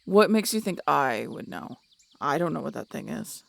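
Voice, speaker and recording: A woman's voice: sits low, very animated, moderate pace, vocal fry, full character voice. A woman playing a grumpy, cynical, guarded female harpy in an audio roleplay, talking in a curt, sardonic, grudging way. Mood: irritated.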